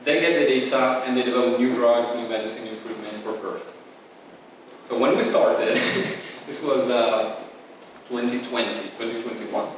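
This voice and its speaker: A man's voice: medium pitch, moderate pace. A man, a founder, giving a loose welcome speech at an event, full of "like" and "uh". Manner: rambling, heavy use of "like" and "uh". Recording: echoey hall, distant mic, faint hum.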